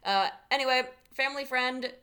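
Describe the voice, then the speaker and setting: A woman co-hosting a casual chat show, playful and blunt, quick with jokes. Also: sits high, sharp tone. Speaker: podcast co-host.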